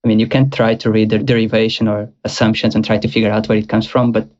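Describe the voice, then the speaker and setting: A man's voice: lowish voice, level tone, fast, darkish tone. Young man, muffled sound. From Portugal. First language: Portuguese. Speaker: guest. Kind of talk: casual interview answers.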